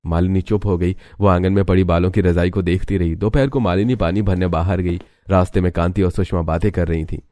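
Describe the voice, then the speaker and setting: A man's voice: deep voice, steady tone, brisk, few pauses, warm tone. A man narrating a scripted cartoon story in a dramatic storytelling style.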